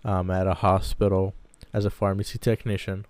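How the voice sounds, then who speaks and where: Smooth, low-voiced young man chatting casually. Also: flat delivery, moderate pace, darkish tone, no echo. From Baghdad, Iraq. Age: 20s. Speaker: podcast co-host. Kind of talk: casual personal storytelling.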